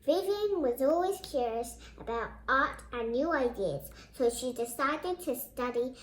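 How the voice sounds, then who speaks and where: A high, young child's voice reads a storybook aloud as host of the reading. The delivery is presenterly and speaks straight to viewers. The sound is echoey, as in a reverberant room. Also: animated, unhurried, fairly bright tone. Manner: presenterly, addresses viewers directly. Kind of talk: read-aloud storybook narration with brief asides. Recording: roomy, echoing indoor sound.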